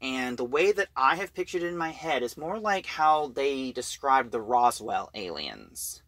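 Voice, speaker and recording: A man giving an informal story recap as a reviewer, talking in a halting, conversational way. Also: medium pitch, sing-song, a bit bright, dry recording. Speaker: audio drama reviewer.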